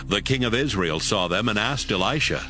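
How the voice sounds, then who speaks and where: A man's voice: on the low side, expressive, moderate pace, bright, forceful tone. A man narrating a dramatized Bible audiobook from a script. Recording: music behind the voice.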